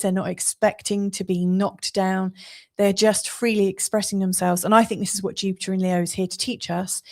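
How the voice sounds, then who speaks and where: A woman's voice: mid-range voice, darkish tone. A woman from England with an English accent, giving an astrology forecast in an earnest, chatty monologue with frequent laughs and fillers.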